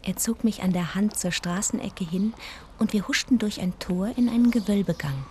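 An actress in a radio drama playing an inquisitive, fond deaconess who also narrates the story intimately. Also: female voice, mid-range voice, normal speed, dark tone, a little hiss or hum, close to an everyday voice. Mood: calm narration.

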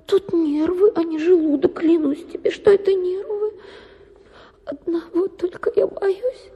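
An actress playing a self-pitying, capricious young wife in a radio drama, with an affected, sighing, melodramatic manner. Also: female voice, extremely high pitch, unhurried, warm tone, highs cut off. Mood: plaintive, self-pitying. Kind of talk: dramatized literary short story for radio.

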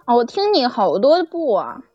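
High-voiced, effusive woman, lo-fi recording. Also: huge pitch swings, normal speed, darkish tone, no room sound. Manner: effusive, exclaiming, fangirlish. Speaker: audio drama producer and guest. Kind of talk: animated casual chat. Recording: dull lo-fi audio with music behind.

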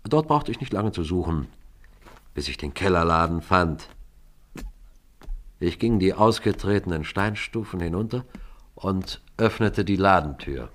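Low-voiced methodical police sergeant. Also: male voice, expressive, a little slow, long pauses. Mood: measured, reflective. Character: Scotland Yard police sergeant narrating his investigation, methodical and businesslike. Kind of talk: German radio crime drama, narration and dialogue.

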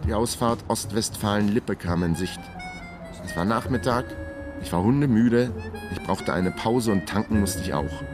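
Man acting weary investigator, low voice, wry first-person narration, radio crime drama, music underneath. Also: normal speed, natural voice. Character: chaotic, weary male small-time investigator telling his own story. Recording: radio production with prominent music bed.

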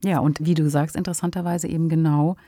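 A woman's voice: low voice, flat delivery, quick pace, dark tone, no echo, clean studio sound. A woman co-hosting a podcast, discussing fiction in a conversational, explanatory way. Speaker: podcast co-host, fiction writer.

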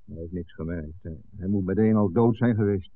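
A man's voice: low-pitched, a little fast. An actor playing a grave, earnest village man who speaks hesitantly and respectfully in a 1960s radio drama. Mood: grave, reluctant. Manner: hesitant, addresses the priest respectfully. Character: grave village man bearing bad news, later indignant. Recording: thin phone-like 1960s radio recording.

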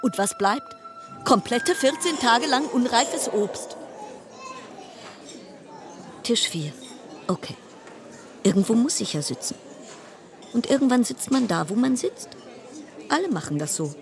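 Wry solo cruise passenger, music behind. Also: female voice, a little high, big pitch swings, some chatter behind. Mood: wry, exasperated. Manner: wry, musing, dramatic pauses. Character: wry, chatty lone woman cruise passenger. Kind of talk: German radio play monologue.

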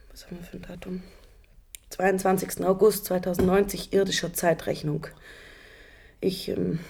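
An actress playing an earnest, slightly uneasy female spacecraft technician in her thirties, with a husky edge, in a German radio drama. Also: mid-range voice, a little mellow. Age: 30s.

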